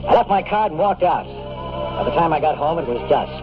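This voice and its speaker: An actor playing a cynical, wisecracking bachelor private detective in a radio drama, with a snappy, sardonic hardboiled delivery. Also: male voice, a little high, expressive, normal speed, natural voice. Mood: wry, narrating. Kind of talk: 1950s radio detective acting and first-person narration. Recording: muffled old radio broadcast with music.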